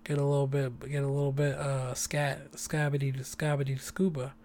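A podcast host talks alone from home in a loose, rambling way, full of slang and enthusiasm. The voice is hoarse and sits in a middle pitch. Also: little pitch movement, fairly quick, a little mellow. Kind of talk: casual solo podcast chat. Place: home apartment.

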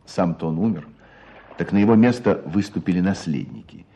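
An actor playing a storyteller in a radio play, narrating village hardship with expressive, deliberate phrasing. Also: male voice, low-pitched, vocal fry, heavily acted. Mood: reflective.

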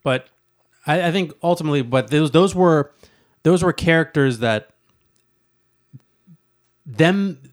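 A man's voice: a little high, animated, measured pace, long pauses. A man co-hosting a casual chat show, talking in a tentative, rambling way and often repeating himself. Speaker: co-host of a talk show.

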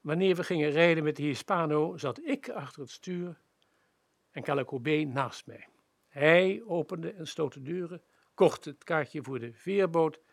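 Gravelly, husky male storyteller. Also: a little high, dry recording. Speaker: radio play narrator. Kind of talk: scripted literary narration in the first person.

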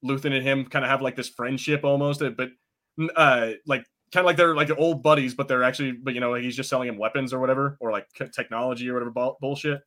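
A man's voice: mid-range voice, steady tone, fast talker, sharp tone. A man co-hosting a podcast, chatting casually with frequent swearing and hesitant restarts. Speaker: podcast co-host. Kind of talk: casual chat.

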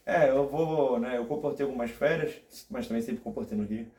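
A young man from Rio de Janeiro state with a Carioca accent, a podcast guest chatting in a relaxed, rambling way full of fillers and restarts. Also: medium pitch, a little fast, reverberant. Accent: Carioca Brazilian Portuguese. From Rio de Janeiro state, Brazil. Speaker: podcast guest, theology graduate. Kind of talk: casual chat.

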